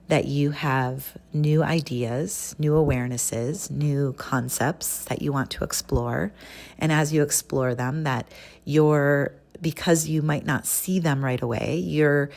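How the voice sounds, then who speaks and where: Woman, low pitch, very slow pace, gentle guided meditation, long pauses, instructional.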